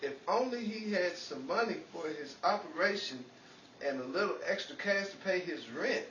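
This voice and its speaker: A man's voice: high voice, lively intonation, normal speed, fairly bright tone, dull audio. A man playing a well-meaning, scatterbrained schemer who is forever in trouble, in an old-time 1940s radio comedy style. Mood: rueful storytelling. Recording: echoey, distant, noisy room recording.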